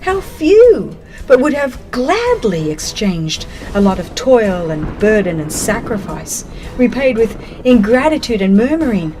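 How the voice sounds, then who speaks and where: A woman reading scripted religious narration in a solemn, measured and expressive manner. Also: a little high, low-quality recording. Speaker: audiobook narrator. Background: background music.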